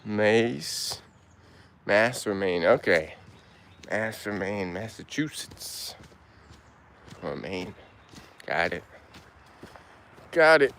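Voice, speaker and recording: A young man from Turkey casually chatting with his live-stream audience while walking in the woods, relaxed and laid-back, answering comments aloud with halting restarts. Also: fairly low pitch. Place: outdoors in woods.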